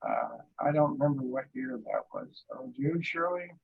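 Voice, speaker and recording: A man's voice: mid-range voice, normal speed, gravelly, narrow, tinny audio, a little hiss or hum. A man chatting casually as a participant in a spiritual discussion group.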